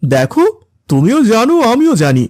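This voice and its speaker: A woman's voice: medium pitch, very animated, normal speed, a little mellow, no room sound, clean studio sound. A woman playing a sly, persuasive friend in a cartoon, coaxing and insinuating. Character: sly, persuasive friend tempting someone back to sin.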